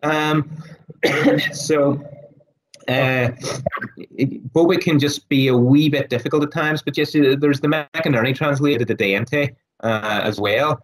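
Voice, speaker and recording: Raspy, hoarse man explaining thoughtfully. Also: medium pitch, fairly even intonation, takes it slowly, low-quality recording. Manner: thoughtful, many fillers and restarts. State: hoarse, losing his voice. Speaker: guest scholar on Aquinas. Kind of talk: casual interview talk.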